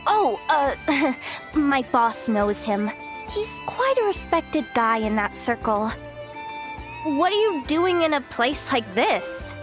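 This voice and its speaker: Slow-talking, shrewd young woman. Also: sits high, very animated, over music, heavily acted. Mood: surprised. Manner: measured, skeptical, direct. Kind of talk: game voice acting.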